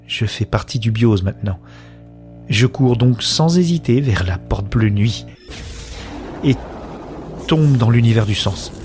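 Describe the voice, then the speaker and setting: A man's voice: medium pitch, lively intonation, measured pace, long pauses, a little mellow, soft background music, close to an everyday voice. A man playing a wonderstruck woman who narrates a science-fiction audio drama in the first person, in earnest short phrases. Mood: expectant. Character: wonderstruck woman newly linked to a shared consciousness.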